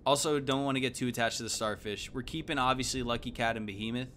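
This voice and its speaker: A man, giving casual, slangy, animated live game commentary. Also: mid-range voice, fast talker, a little hiss or hum. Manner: animated, exclaiming, thinking aloud. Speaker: game streamer. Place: indoor room at home.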